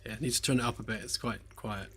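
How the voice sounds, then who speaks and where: A man developing a game on a live stream, chatting casually as he works, slightly hoarse and rambling with lots of fillers. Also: mid-range voice, fast, pushed and bright. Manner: rambling, hesitant, many fillers, self-deprecating jokes. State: slightly hoarse, losing his voice. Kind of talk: relaxed live-stream chat while working. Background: faint lo-fi background music.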